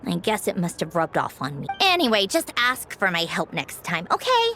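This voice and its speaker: Imperious, exasperated lady engineer, lively swings. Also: fairly low pitch, moderate pace, faint music behind, heavily acted. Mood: wry, casual. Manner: blunt, sardonic, commanding. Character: imperious, easily exasperated female engineer in command. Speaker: voice actor in a video game. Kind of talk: video game character dialogue.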